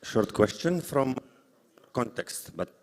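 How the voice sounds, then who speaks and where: A man who is a non-native English speaker moderates a live conference panel, rephrasing haltingly as he goes. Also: mid-range voice, big pitch swings, a little hiss or hum. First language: not English. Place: large indoor conference hall. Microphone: event microphone. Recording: echoey hall sound system.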